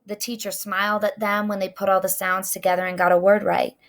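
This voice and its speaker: A middle-aged woman reading a children's story aloud with deliberate, expressive, dramatic phrasing. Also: mid-range voice.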